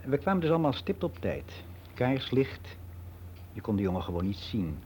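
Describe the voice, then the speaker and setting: A man's voice: mid-range voice, very animated, normal speed, darkish tone, a little hiss or hum, natural voice. An actor playing a candid, reflective older man, confiding matter-of-factly in a conversational radio drama monologue. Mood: frank, wry. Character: candid, reflective ageing man, worldly and unbelieving.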